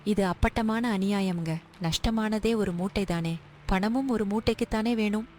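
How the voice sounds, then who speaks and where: A woman's voice: mid-range voice, normal speed, mellow, slight background noise, natural voice. A woman acting an anxious, devoted poor village wife in a story drama, worried and imploring. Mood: indignant, caring.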